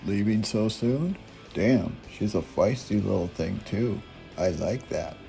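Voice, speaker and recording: Casting: leering barroom creep for audio drama, low gravelly mellow voice, mocking. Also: male voice, expressive, takes it slowly, soft background music, heavily acted. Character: sleazy, taunting barroom jerk.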